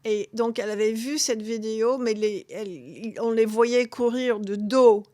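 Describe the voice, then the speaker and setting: Femme anglophone, hésitante, son sec. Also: female voice, a little high, warm tone, dry recording. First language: English. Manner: halting, many restarts and fillers. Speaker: guest author. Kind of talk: interview conversation.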